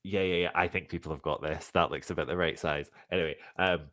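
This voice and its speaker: A man's voice: sits low, fairly even intonation, fast talker, fairly bright tone. A young man co-hosting a theatre podcast, chatting casually on a livestreamed quiz over a video call. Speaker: co-host of a musical theatre podcast. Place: indoor room, video call.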